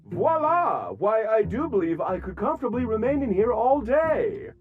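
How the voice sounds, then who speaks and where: A man's voice: high voice, big pitch swings, moderate pace, narrow, tinny audio, music in the background. A man playing a jovial, over-polite game character with florid, theatrical wording and a nervous streak. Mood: showy, cheerful. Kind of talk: video game character acting.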